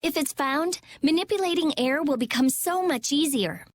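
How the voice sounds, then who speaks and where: Intense, clever teenage mage in a game, high animated voice. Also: female voice, moderate pace, slight background noise. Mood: intent. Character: fiery, intense teenage girl mage, brainy and stubborn. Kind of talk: anime-style video game dialogue.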